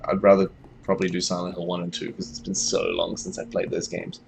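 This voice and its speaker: A man's voice: sits low, completely flat delivery, fast, vocal fry, a little mellow. A young Australian man with an Australian accent, thinking aloud and chatting with viewers while streaming a game. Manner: thinks aloud, chats with viewers, yelps when startled. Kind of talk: casual live gameplay commentary.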